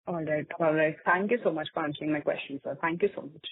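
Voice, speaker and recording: A woman's voice: low voice, fast, slightly rough, dry recording. A woman, politely and formally putting questions as an analyst on a conference call. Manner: polite, deferential. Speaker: investment analyst asking questions. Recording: dry, tinny phone line.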